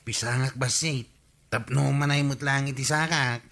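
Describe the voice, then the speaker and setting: A man's voice: medium pitch, fairly even intonation, normal speed, fairly bright tone, lightly acted. A man playing a cheeky, careless village borrower with an Ilocano accent in a radio comedy drama, wheedling in a casual way. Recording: faint music under voice. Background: faint music.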